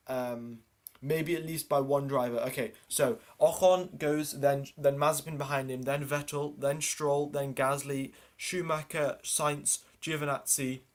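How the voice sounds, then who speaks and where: Man doing live race commentary. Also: mid-range voice. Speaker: livestream host and commentator.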